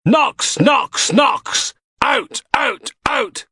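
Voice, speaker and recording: A man voicing an angry mob of men and women, shouting chants and threats. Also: very high voice, lively intonation, extremely slow, husky, no echo, pitched above the performer's own voice, heavily acted. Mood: furious.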